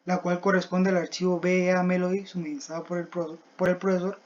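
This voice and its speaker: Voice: mid-range voice, little pitch movement, fairly quick. Student presenter with dull, lo-fi sound. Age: young adult. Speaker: student presenting a class project. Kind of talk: scripted technical presentation. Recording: dull, lo-fi voice recording.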